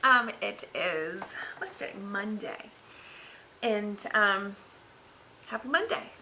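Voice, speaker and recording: A woman in her thirties talks casually from her couch with a gravelly, raspy voice that cracks now and then. Her tone is bright and a little tense, and a faint hiss sits under the webcam sound. She rambles and corrects herself, sounding worn out. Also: mid-range voice, big pitch swings, slow pace. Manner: rambling, filler-heavy, self-correcting. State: recovering from throat surgery, tired. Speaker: vlogger. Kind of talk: casual health update vlog. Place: living room, seated on couch by window.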